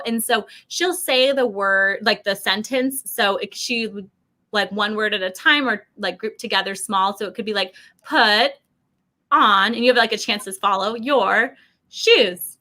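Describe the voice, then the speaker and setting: A woman in her thirties with an American accent and a fairly high, very bright voice. She presents a product in a casual, chatty way, slipping into animated mimicked quotes and peppering her talk with "like.". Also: normal speed. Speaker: company representative presenting a product.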